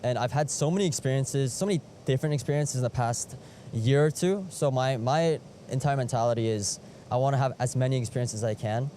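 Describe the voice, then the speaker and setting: A man's voice: mid-range voice, brisk, warm tone, slight background noise. A young man talking in an upbeat, eager way in a street interview.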